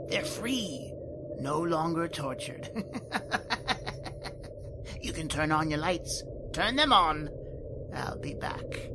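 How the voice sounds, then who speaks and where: An actor playing a gloating, cackling old hermit who hosts a radio horror show with drawn-out, theatrical delivery. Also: mid-range voice, big pitch swings, noisy background, exaggerated voice. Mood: gleeful, sinister.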